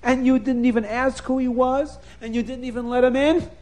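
A man voicing a quick-tempered young husband with a raised, indignant voice. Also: extremely high pitch, level tone, darkish tone, pitched above the performer's own voice. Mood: furious.

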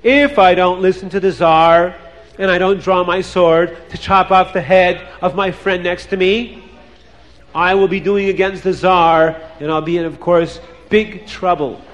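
A man's voice: high-pitched, steady tone, a little slow. A man voicing an anxious soldier in a story, reasoning through his trouble aloud in worried, repetitive phrases. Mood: worried, cornered. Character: anxious, quick-thinking young soldier in trouble, fretting. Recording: long hall reverb.

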